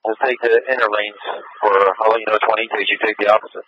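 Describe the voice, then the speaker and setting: A man's voice: on the low side, flat delivery, quick pace, slight background noise. A male police officer giving terse, matter-of-fact live updates on a pursuit over a radio from the field. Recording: dull lo-fi police scanner radio.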